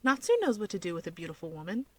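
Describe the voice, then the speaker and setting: A woman voicing a perky young female wizard in a story reading, playful, quick-witted and encouraging. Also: on the low side, animated, a bit bright, breathy edge, no echo, pitched below the performer's own voice. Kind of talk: fan fiction character reading.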